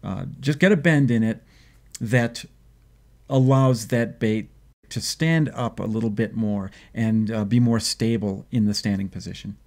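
A man in his fifties, hosting a fishing video, explains his tackle choices in a measured, thoughtful way with frequent ums and uhs, filmed indoors at a desk. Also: lowish voice, darkish tone. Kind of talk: instructional fishing talk. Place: indoor home office.

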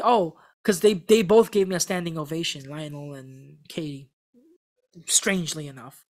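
A young man of Korean background, a podcast guest, telling a story in casual talk full of restarts, close to a studio mic in a small room. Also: fairly high pitch. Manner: animated storytelling with many restarts. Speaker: podcast guest, former contestant. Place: small room with a gray backdrop. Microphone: studio mic on a stand in front.

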